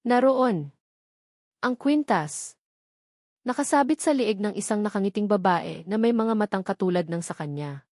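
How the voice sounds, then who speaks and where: A woman reads a dramatic story in a steady, measured way, her pitch sitting in the middle range. The recording is close and completely dry, with no room echo. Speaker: narrator. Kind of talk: scripted audio drama narration.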